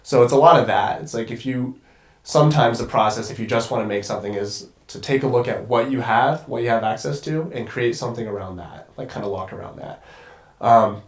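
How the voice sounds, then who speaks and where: A man's voice: medium pitch, fairly quick, reverberant. A congested young man talking as a guest cinematographer in a casual conversation, rambling and swearing casually. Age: young adult.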